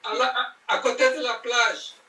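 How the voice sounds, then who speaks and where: A Brazilian man speaking French as a learner, hesitant and halting. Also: extremely high pitch, little pitch movement, pushed and bright, roomy sound, far from the mic, low-quality recording. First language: Portuguese. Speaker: language learner.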